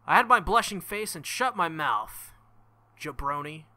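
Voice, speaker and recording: A young man commenting casually on a visual novel, with joking, crude, excitable asides. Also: a little high, unhurried. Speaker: let's-play host.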